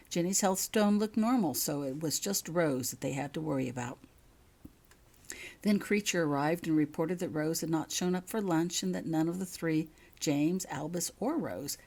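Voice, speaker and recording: Woman, lower-pitched voice, scripted storybook narration, author reading. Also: a little mellow.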